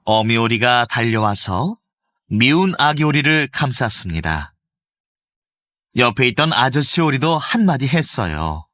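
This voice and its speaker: Expressive male storybook narrator. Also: mid-range voice, unhurried, no echo, clean studio sound. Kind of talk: scripted storybook narration for children.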